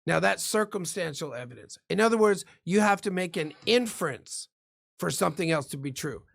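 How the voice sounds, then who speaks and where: A man's voice: sits high, animated, brisk, creaky, a bit bright. A man who works as a defense lawyer, explaining the law like a lecturer in profane street slang. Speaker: guest criminal defense lawyer.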